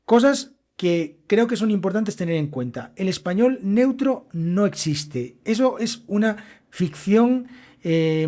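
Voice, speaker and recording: Mellow-voiced man with a Castilian accent. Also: high voice. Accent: Castilian Spanish. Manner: direct, explanatory, speaks straight to learners. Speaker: podcast host and Spanish teacher. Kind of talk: solo educational podcast monologue.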